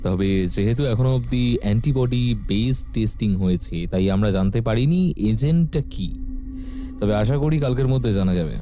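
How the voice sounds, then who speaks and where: Formal male police sub-inspector, played by a man, very mellow mid-pitched voice, matter-of-fact and deliberate, audio drama. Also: soft background music, lightly acted. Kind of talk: audio story character acting.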